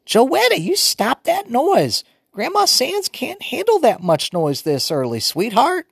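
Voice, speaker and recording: A man voicing a fussy old grandmother, shrill and scolding. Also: huge pitch swings, a little slow, vocal fry, breathy edge, no room sound, clean studio sound, full character voice.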